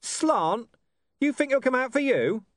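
A man voicing a gruff, stubborn police commander in an audiobook, sardonic and pressing. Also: very high-pitched, sing-song, measured pace, no echo, clean studio sound, voice pushed up, exaggerated voice. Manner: sardonic, pressing, growling at times. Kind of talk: audiobook character dialogue.

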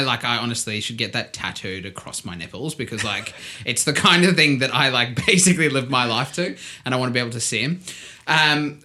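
Blokey South African man, bright forceful voice. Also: medium pitch. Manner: blokey, informal and slangy, hesitant restarts, chuckles through his points. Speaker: podcast host. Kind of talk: casual, jokey podcast chat between mates.

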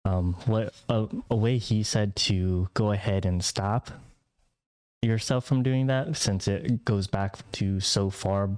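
A man's voice: fairly low pitch, fairly even intonation, slow, darkish tone, clean tone. A man co-hosting a casual podcast, talking in a hesitant way with frequent fillers and laughs. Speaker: podcast co-host.

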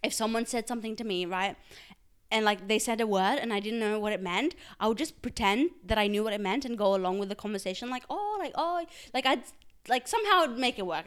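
A woman in her twenties, born in Pakistan with Urdu as her first language, co-hosting a casual podcast chat with lots of laughter. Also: fairly high pitch. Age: 20s. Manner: rapid, animated, laughing often. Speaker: podcast co-host.

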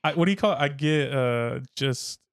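A man's voice: mid-range voice, expressive, normal speed, creaky, smooth, no echo, clean studio sound. A man hosting a podcast in a laid-back, casual way, swearing freely and saying "dude". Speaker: podcast host.

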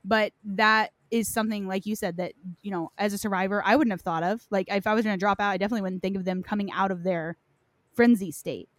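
A woman's voice: medium pitch, fairly even intonation, fast, no echo. A woman hosting a casual gaming chat, talking informally and anecdotally with lots of "like". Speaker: podcast host, gamer.

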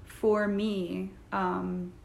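Gravelly-voiced young woman, echoey room. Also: mid-range voice, flat delivery, very slow. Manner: reflective, thoughtful, with fillers. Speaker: podcast co-host. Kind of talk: casual podcast chat. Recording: echoey room with faint noise.

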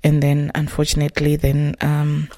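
A middle-aged woman from Zimbabwe with a Zimbabwean accent, telling her life story in an interview in a soft, halting, reflective way. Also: low voice, completely flat delivery. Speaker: children's home caregiver.

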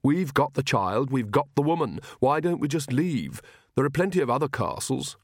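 A man's voice: mid-range voice, animated, moderate pace, dry recording, clean studio sound. A man voicing an exasperated, sulky young male vampire in a storybook, complaining as he talks.

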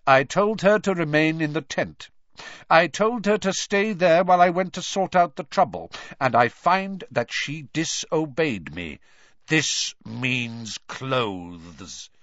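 Audiobook role, acted by a man: severe older male official, cold, deliberate, clipped, no pity, swooping emphasis. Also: on the high side, lively intonation, a bit bright, no echo, muffled recording, pitched above the performer's own voice. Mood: cold anger. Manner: cold, slow, clipped authority.

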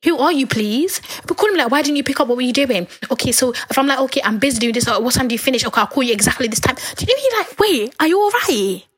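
A young woman in her twenties telling a story in a casual chat, animated and dramatic as she acts out the quoted lines. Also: sits high, very fast, few pauses, breathy edge.

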